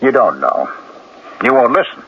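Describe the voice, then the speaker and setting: Troubled, guilt-ridden husband, swinging intonation. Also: male voice, medium pitch, big pitch swings, moderate pace, gravelly. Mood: defensive. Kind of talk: 1970s radio mystery drama acting. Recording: dull, noisy 1970s radio broadcast.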